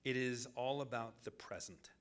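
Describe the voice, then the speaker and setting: A man delivering a scripted public lecture as the lecturer, in deliberate, measured phrases with dramatic pauses. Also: medium pitch, a bit bright, clear, resonant tone.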